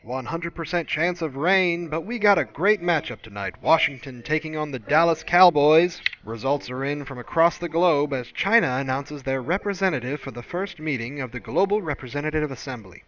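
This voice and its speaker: A man playing a loud, opinionated talk-radio host, ranting with punchy, indignant emphasis in bombastic DJ patter. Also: a little high, lively intonation, moderate pace, few pauses, dull audio, slight background noise. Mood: hyped.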